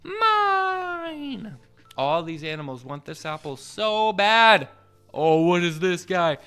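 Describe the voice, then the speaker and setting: A man hosting a children's read-along, reading picture books in a playful, expressive way with asides to the young listeners. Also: on the high side, clean tone, soft background music. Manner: playful, expressive, drawn-out delivery.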